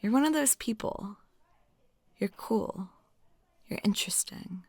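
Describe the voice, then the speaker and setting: A woman acts out a talkative, flirty waitress in an intimate audio roleplay. Her mid-pitched voice is soft and noticeably creaky, slipping toward near-whispers. She sounds lonely and smitten, recorded very dry, with no room around her. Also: lively intonation, a little slow, dry recording, heavily acted. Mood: smitten, playful. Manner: soft, rambling, giggly, drops into near-whispers.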